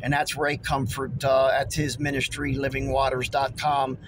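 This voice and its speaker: A man in his forties, hoarse from losing his voice, preaching earnestly and emphatically to a phone camera from inside his car. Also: medium pitch, monotone, normal speed, noisy background. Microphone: phone held close.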